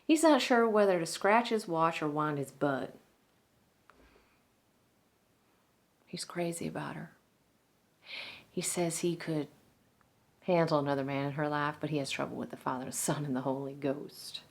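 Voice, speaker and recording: A woman acting out a Southern salon owner in a stage drama, warm-hearted and gossipy. Her voice sits a little low, and she confides in a folksy way, sometimes breathy. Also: normal speed. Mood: amused, confused.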